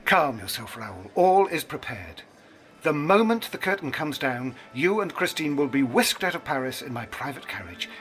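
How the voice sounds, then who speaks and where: An actor playing a calm, confident, aristocratic older brother, soothing and reassuring, in a radio drama. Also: male voice, a little high, moderate pace. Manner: soothing, assured. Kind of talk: full-cast radio drama acting. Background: music.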